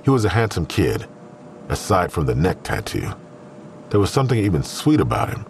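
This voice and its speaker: A man with an extremely low voice reads scripted crime narration. His pitch moves in an animated way, over faint background noise. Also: a little fast, creaky.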